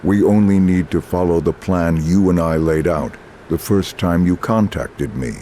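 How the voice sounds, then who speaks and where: An actor playing a calm alien intellect in a man's body for a game, speaking in formal, stilted, detached sentences. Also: sits low, a little slow, a little hiss or hum. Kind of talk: cinematic game voice acting.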